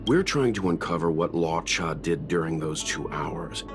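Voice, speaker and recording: A man voicing a calm, thoughtful companion in a game dub, measured and gently doubtful. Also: very deep voice. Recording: game dub over music.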